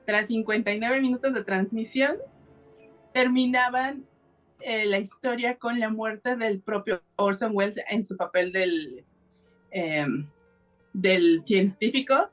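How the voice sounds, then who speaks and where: A woman with a Mexican accent hosting a podcast, telling a story in a casual, colloquial and explanatory way. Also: medium pitch. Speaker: podcast host.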